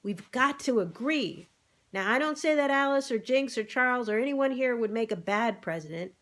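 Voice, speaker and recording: A woman voicing a bossy, excitable male pig who makes emphatic, persuasive speeches in an animal storybook. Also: on the high side, moderate pace, pitched above the performer's own voice. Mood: urgent, persuasive. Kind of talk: animal storybook read-aloud.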